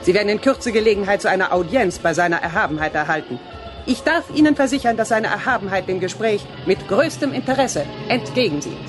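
An actress playing a formal, correct military adjutant in a sci-fi radio play, speaking in an official, ceremonious way. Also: female voice, mid-range voice, lively intonation, fast talker, over music, close to an everyday voice. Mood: formal, deferential.

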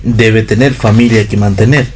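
A man's voice: fairly low pitch, fairly even intonation, moderate pace, close to an everyday voice. A man playing a hungry, wry, free-spirited vagabond in a radio play reading. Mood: wry, plain.

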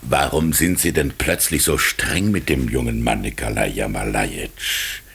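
An actor in a radio play voicing a fussy, impressionable old police chief with a raspy, gravelly voice, given to exclaiming and flattery. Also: male voice, very deep voice, unhurried, exaggerated voice. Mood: admiring, mild. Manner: exclaiming, flattering, easily swayed. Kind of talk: 1950s German radio play acting.

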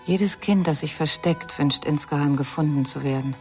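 A woman's voice: deep voice, moderate pace, darkish tone, soft background music. A woman acting in a German radio crime drama, playing the sorrowful, reflective but hopeful mother of a missing son.

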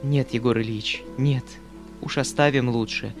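A man narrating an audiobook voices a young governess who speaks softly and with resignation, close to tears. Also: medium pitch, measured pace, music in the background, natural voice. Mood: tearful. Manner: soft, subdued, resigned.

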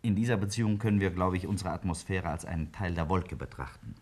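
A man's voice: low voice, fast. A man playing a brilliant, self-assured scientist in a 1950s German radio drama, lecturing emphatically and brusquely. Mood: assured, explaining. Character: brilliant, self-assured male scientist explaining a daring theory. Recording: vintage 1950s radio play recording.

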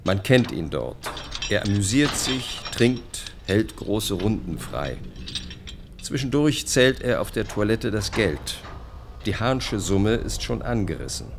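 Low-voiced male radio play narrator. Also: sing-song, takes it slowly, creaky, background noise.

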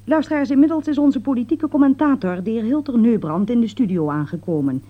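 A woman's voice: on the high side, expressive, a little fast. Lilting, poised female radio announcer. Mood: formal, poised. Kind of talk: scripted radio introduction in a radio play. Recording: dull, lo-fi radio play recording.

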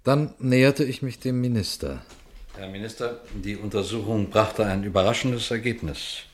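An actor playing a sober, watchful police detective, telling his case in a measured, formal radio crime drama style. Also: fairly low pitch, natural voice. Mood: formal, probing. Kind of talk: radio crime drama, suspenseful first-person narration and dialogue.

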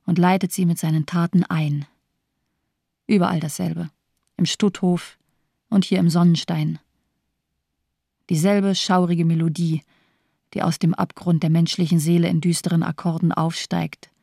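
A cold, cynical female doctor from a radio crime play. Her voice is low and dark, recorded close and dry. She declaims her bleak, nihilistic view of mankind with disdain. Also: little pitch movement, measured pace, gravelly, pauses often, no echo. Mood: bleak, resigned. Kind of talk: German radio crime drama monologue.

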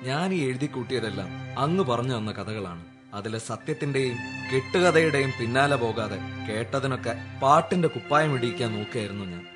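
An actor playing an earnest, troubled young poet in a radio drama. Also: male voice, mid-range voice, expressive, fairly quick, lightly acted. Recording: radio drama with music underneath.

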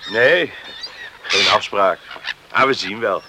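A man's voice: fairly low pitch, animated, takes it slowly, very noisy. A man playing an easygoing, evasive young farm lad in a Dutch radio play. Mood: evasive, amused.

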